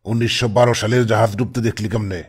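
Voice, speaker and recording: A man's voice: on the low side, fairly even intonation, quick pace, husky. A man doing a bossy, irritable cartoon gang boss. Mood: resigned grumbling. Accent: Bangladeshi colloquial Bengali. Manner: scolding, commanding. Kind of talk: comic cartoon voice acting. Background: music.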